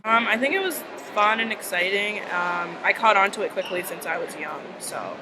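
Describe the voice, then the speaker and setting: A woman's voice: medium pitch, lively intonation, moderate pace. A young woman whose first language is Arabic, answering interview questions in an easygoing, reflective way. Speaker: student interviewee. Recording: noisy outdoor recording.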